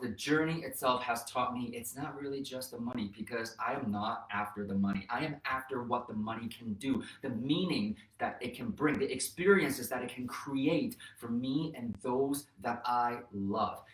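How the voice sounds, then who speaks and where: A man's voice: mid-range voice, moderate pace, hardly any pauses, fairly bright tone. A man in his thirties whose first language is Mandarin, hosting a motivational talk and earnestly encouraging his viewers. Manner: earnest, encouraging, addresses viewers as "you guys". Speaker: host, real estate educator. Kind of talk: motivational vlog talk to camera. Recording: roomy echo, mic at a distance.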